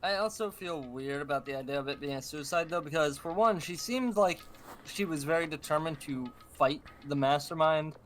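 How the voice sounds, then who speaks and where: A man's voice: a little high, normal speed, fairly bright tone, soft background music. A man giving casual let's play commentary as he plays a game.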